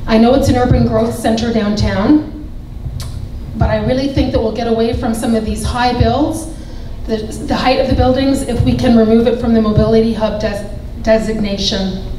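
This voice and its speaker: Measured woman with a mellow voice. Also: a little high, fairly even intonation, a little hiss or hum. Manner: measured, explanatory. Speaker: election candidate. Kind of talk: debate answer. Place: public debate hall. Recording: echoey hall, distant.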